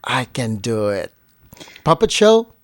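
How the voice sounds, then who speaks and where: A man's voice: mid-range voice, big pitch swings, takes it slowly, dry recording, studio quality. A man chatting casually as a guest, telling anecdotes informally and acting out the quotes. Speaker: guest on partner's family show. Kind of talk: casual chat, anecdotal storytelling.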